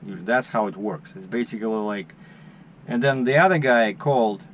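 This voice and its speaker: A man's voice: lowish voice, moderate pace, slight background noise. A man, a truck driver vlogging in casual chat and animatedly telling stories, acting out his phone calls. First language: Russian.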